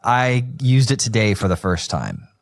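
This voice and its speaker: A male podcast host with a fairly low voice tells an anecdote in a loose, chatty way. He leans heavily on "like" as he talks. The sound is close and dry. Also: sing-song, moderate pace, creaky, dry recording. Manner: chatty storytelling, heavy use of "like". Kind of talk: casual podcast chat.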